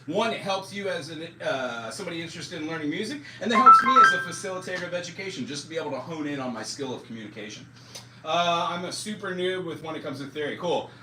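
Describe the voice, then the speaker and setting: A man's voice: a little high, lively intonation, fast. Man in his forties with a roomy, distant sound. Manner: loose and self-deprecating, with many fillers. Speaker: music teacher streaming live. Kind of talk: casual live-stream chat. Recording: roomy echo, distant mic, music underneath.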